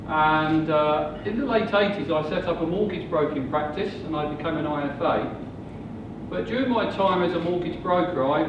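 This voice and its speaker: Older man pitching at a seminar. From England. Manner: measured, slow sales pitch delivery. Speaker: property investor presenter. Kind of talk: seminar presentation. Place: hotel function room. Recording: muffled, distant, echoey room with noise.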